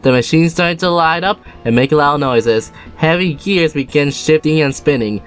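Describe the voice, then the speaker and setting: A woman narrating a children's storybook in a steady, expressive read-aloud manner. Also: low-pitched, normal speed, faint music behind. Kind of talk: storybook reading.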